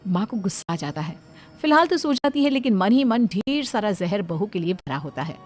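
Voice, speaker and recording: A woman's voice: medium pitch, very animated, moderate pace, faint music behind. A woman narrator telling a scripted story in a dramatic storytelling style.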